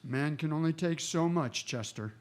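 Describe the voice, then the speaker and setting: Man playing a steady, laconic Western marshal. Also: on the high side, moderate pace, natural voice. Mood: sober, resolute. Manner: laconic, matter-of-fact. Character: steady, plain-spoken male frontier marshal. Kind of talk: Western radio drama acting.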